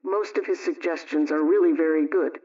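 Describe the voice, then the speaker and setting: Genteel 1920s radio homemaker played by an actress. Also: female voice, sits low, fairly even intonation, dark tone, natural voice. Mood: gently amused. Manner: measured, genteel, addressing listeners directly. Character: Aunt Sammy, chatty, gently wry 1920s radio homemaker. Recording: dull, lo-fi old radio recording.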